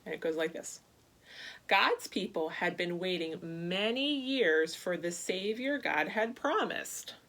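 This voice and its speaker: Lower-pitched woman telling stories to children. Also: slow pace. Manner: animated, simple address to young children with questions. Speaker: children's church storyteller. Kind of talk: read-aloud children's Bible story and chat to kids.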